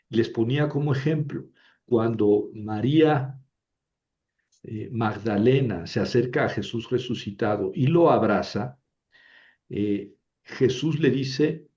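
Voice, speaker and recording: A man's voice: mid-range voice, dark tone. A man teaching a language lesson, explaining patiently and often checking with "¿verdad?". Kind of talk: lecture. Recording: dull lo-fi recording.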